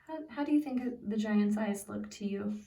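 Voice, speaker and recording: A woman reads a picture book aloud as a preschool teacher, simply and expressively, pausing to put questions to young listeners. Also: medium pitch, vocal fry, warm tone. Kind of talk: read-aloud storytelling with questions to young children. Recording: echoey room, distant from the mic.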